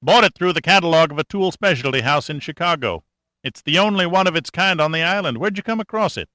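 A man voicing a folksy, excitable country-boy sergeant mechanic with a drawling, colloquial manner, in an audiobook reading. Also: fairly high pitch, quick pace, dry recording, studio quality, voice pushed up.

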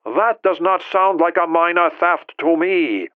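Through a thin, tinny telephone filter comes a stern male head of state, played by a man reading an audiobook. He is formal and coldly authoritative, with measured phrasing and a strongly moving pitch. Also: expressive, no echo. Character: head of state on the phone, quiet, cold, stern. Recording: tinny band-limited phone-line effect.

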